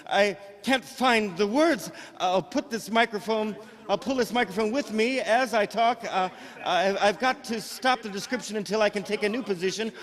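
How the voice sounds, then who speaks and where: Radio play casting: man to play an excitable, stammering field reporter, high-pitched and horrified, heard in a reverberant hall. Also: moderate pace. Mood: horrified, awed. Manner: breathless, stammering, hesitant live commentary. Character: excitable, horrified male radio news reporter at a disaster scene. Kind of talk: old-time radio drama eyewitness reporting.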